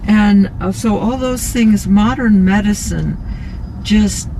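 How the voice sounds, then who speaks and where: Soft-spoken woman in her nineties. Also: mid-range voice, rough recording. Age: 90s. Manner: soft-spoken, rambling with pauses. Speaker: interviewee, passenger. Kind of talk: casual chat. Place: inside a car on city streets. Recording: loud road noise from a car. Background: car and traffic noise.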